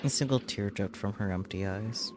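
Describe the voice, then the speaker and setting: Low-voiced man narrating over background noise. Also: normal speed. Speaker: streamer reading a visual novel aloud. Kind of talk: dramatic read-aloud narration.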